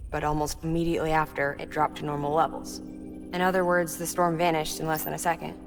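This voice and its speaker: A woman's voice: low-pitched, little pitch movement, brisk, gravelly. An actress playing a weary, tender and pragmatic engineer mother in a video game cutscene, talking in a matter-of-fact, dryly informal way. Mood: explanatory, serious. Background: music.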